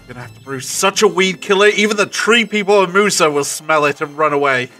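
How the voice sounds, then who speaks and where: Guy acting commanding time traveller hero, audio drama, bright sharp voice, faint music behind. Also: high voice, fast talker. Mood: urgent.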